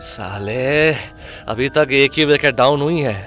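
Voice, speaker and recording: A man's voice: mid-range voice, a little slow, a bit bright, lightly acted. Man playing grumbling, cynical sidekick Prasad over music. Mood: grumpy, sarcastic. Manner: blunt, slangy, grumbling. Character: Prasad, grumpy, cynical, foul-mouthed male sidekick. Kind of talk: horror-comedy audio drama acting. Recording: music under dialogue.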